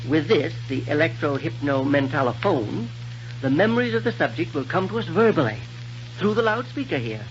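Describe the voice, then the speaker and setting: An actor playing a kindly, eccentric scientist in a 1930s radio serial, musing and professorial, with small hesitations. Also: male voice, fairly high pitch, expressive, moderate pace, soft background music, natural voice. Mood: proud, explaining. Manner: hesitant, musing, professorial delivery with technical jargon. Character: kindly, eccentric scientist doctor proud of his invention. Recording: noisy muffled vintage radio recording.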